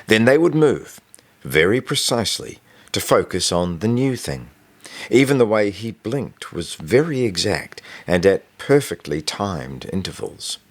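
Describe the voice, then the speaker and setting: A man's voice: on the low side, big pitch swings, a little slow. A man reading a novel excerpt as scripted audiobook narration, in the manner of a storyteller telling a first-person school tale.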